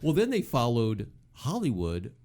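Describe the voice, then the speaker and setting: A man's voice: medium pitch, wildly swooping pitch, a little slow, dark tone. A man co-hosting a show, giving casual, conversational commentary. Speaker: podcast co-host.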